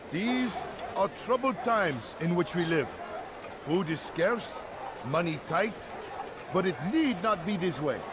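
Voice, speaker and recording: High, fervent street orator. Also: male voice, background noise. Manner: declamatory, projecting, rallying. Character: persuasive male street orator, fervent and declamatory. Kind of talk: public proclamation in video game.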